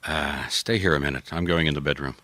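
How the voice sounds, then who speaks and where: A man's voice: rumbling low, fairly quick, noisy background. An actor playing a blunt, commanding private detective and ex-policeman in a radio mystery. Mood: businesslike, probing. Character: no-nonsense male private detective, former city policeman.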